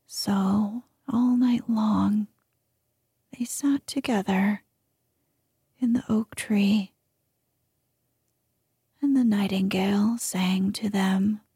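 A woman telling a fairy tale in a slow, soothing whisper, as whispered ASMR storytelling. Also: mid-range voice, vocal fry, mellow, husky, no echo, studio quality.

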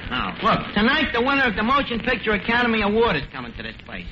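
An actor playing a brash, slangy New York tavern manager who bluffs with mangled grand words in a 1940s radio comedy. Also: male voice, sits high, wildly swooping pitch, fast talker. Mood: scheming, exasperated. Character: brash, fast-talking tavern manager full of big schemes. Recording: noisy, muffled 1940s radio broadcast.

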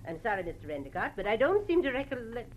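An actress playing a wealthy, refined society widow in an old-time radio drama, formal and evasive. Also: female voice, fairly high pitch, big pitch swings, fast talker. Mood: flustered. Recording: muffled, faintly noisy vintage radio recording.

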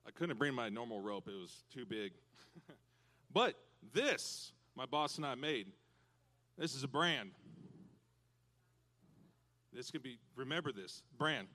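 A man preaching a lively sermon to youth and parents in a church, animated and breaking into loud cowboy shouts. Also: medium pitch, slow pace, pauses often. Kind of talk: lively hands-on sermon with props.

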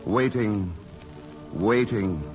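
An actor playing a wistful romantic painter in a classic radio drama, hesitant and bantering with an old love. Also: male voice, on the low side, extremely slow. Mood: wistful, hurt. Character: wounded, wry romantic painter visiting his lost love. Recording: muffled old radio broadcast, music underneath.

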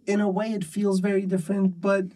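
A man's voice: sits high, completely flat delivery, a little fast, mellow, far from the mic. A man being interviewed about his poetry and translation work. He talks thoughtfully and with some hesitation, using many fillers and restarts. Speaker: poet and translator, interview guest. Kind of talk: relaxed conversational interview.

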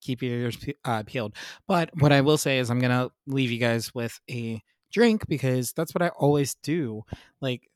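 A young man hosting his own podcast in a casual, upbeat, self-mocking chat, a little congested and clearing his throat. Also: mid-range voice, no room sound, studio quality. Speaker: podcast host.

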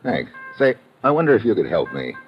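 An actor playing a tough, wry American private investigator in old radio detective style, laconic and slangy. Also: male voice, on the low side, big pitch swings, brisk. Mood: feigned friendliness. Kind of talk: 1950s radio detective acting. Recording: muffled old radio recording with music.

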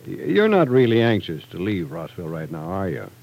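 An actor playing a considerate middle-aged husband, earnest and hesitant, in 1940s radio soap style. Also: male voice, deep voice, huge pitch swings, fairly quick, gravelly, darkish tone, heavily acted. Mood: earnest, probing.

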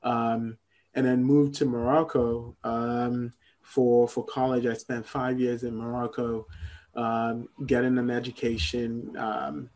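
A middle-aged man from Ghana, speaking as a guest in an interview, measured and thoughtful with frequent pauses. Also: mid-range voice, little pitch movement, a little mellow. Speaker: guest, entrepreneur. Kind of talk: interview conversation.

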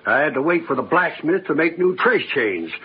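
Impatient frontier dad, folksy and swooping, on a muffled vintage radio Western recording. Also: male voice, on the high side, very animated, normal speed, slight background noise. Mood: folksy, fretful. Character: impatient, folksy middle-aged frontier family man. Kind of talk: 1940s-50s Western radio acting.

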